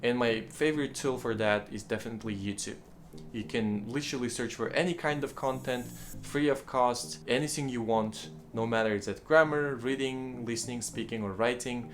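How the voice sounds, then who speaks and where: Young man, friendly vlogger, mid pitch, faint music under his voice. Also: normal speed. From Kazakhstan. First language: Kazakh. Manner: friendly, addresses viewers as guys, lively emphatic starts. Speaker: language-learning vlogger. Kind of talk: casual study-tips talk to camera.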